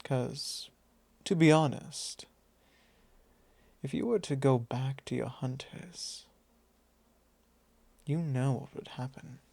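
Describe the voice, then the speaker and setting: A man playing a possessive, teasing vampire lord in an intimate ASMR roleplay, speaking softly in a measured, drawling, formal way. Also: medium pitch, vocal fry, dry recording. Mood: probing, quietly possessive. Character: possessive male vampire lord, teasing, calmly probing his thrall.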